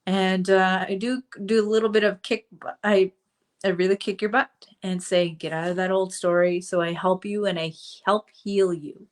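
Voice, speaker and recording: A middle-aged woman talking to her viewers in a casual live stream, her pitch sitting in the middle range. She chats loosely, with plenty of fillers and asides. Her voice is slightly hoarse from a cold. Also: steady tone, normal speed. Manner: chatty, with frequent fillers and asides. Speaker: coach hosting a live stream.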